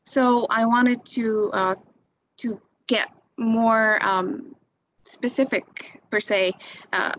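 A woman's voice: fairly high pitch, fairly even intonation, very slow, fairly bright tone, rough recording. A young woman from Tunisia, a recent graduate, talking informally on a webinar with many fillers and restarts. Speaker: graduate speaking to prospective students. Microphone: video call.

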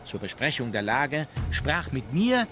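Lively-pitched, matter-of-fact male courtier. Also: measured pace, over music. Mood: sober. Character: loyal, observant naval courtier recalling the emperor. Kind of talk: diary-like recollection in a docudrama.

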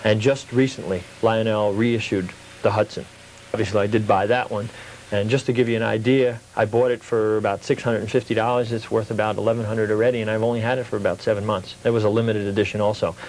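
A man's voice: fairly low pitch, fairly even intonation, fast talker, a little mellow, a little hiss or hum, rough recording. A man speaking as an interview guest, telling things in a casual, conversational way. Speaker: interview guest, hobby collector.

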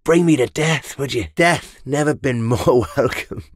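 A man's voice: medium pitch, unhurried, dry recording, studio quality, close to an everyday voice. A man with an Irish accent playing a brash, money-minded medieval mercenary in a video game, roguish and theatrical. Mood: weary, begging death. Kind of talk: game combat barks.

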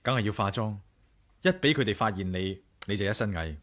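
A man's voice: fairly low pitch, a little fast, fairly bright tone, natural voice. A man with a Hong Kong Cantonese accent playing a curious, decisive adventurer in a 1980s radio drama. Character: determined, curious male adventurer, brooding and decisive.

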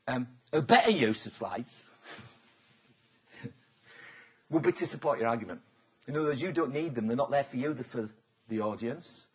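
A man's voice: medium pitch, fairly bright tone. A man giving a business talk to a live audience, chatty and teasing, throwing questions at the crowd. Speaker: presenter.

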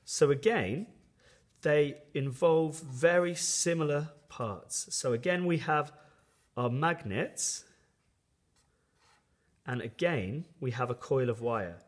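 A man's voice: fairly high pitch, expressive, slow, pauses often. A man giving a step-by-step science tutorial in an explanatory, instructional manner as a teacher.

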